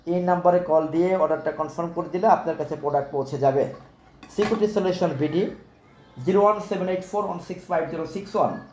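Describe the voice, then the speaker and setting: A man with a Bangladeshi accent delivers an off-the-cuff live-stream sales pitch. His voice sits a little high, and he speaks politely, repeating his instructions to buyers. The sound is clearly echoey, with faint noise behind him. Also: quick pace, a little mellow. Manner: repetitive, instructional, formally polite. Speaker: live-stream product seller.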